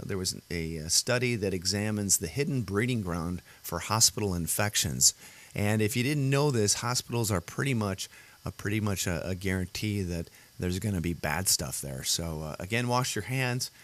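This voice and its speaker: Gravelly low-voiced man hosting his show. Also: a bit bright. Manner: rambling, many fillers and restarts. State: voice worn and rough today. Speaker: podcast host. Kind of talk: casual solo show chat. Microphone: computer audio setup.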